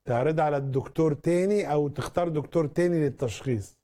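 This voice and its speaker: A man's voice: on the high side, fast, dark tone. A man with an Egyptian Arabic accent, a doctor giving advice to callers on a live TV phone-in show. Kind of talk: live medical call-in advice.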